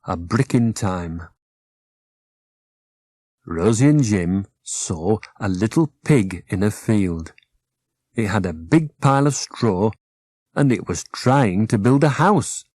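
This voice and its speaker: A man's voice: lowish voice, expressive, takes it slowly, pauses often, darkish tone, no echo, clean studio sound. A man narrating a children's audiobook from a script, expressively, as a storyteller who acts out the dialogue. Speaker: storyteller, author reading own book.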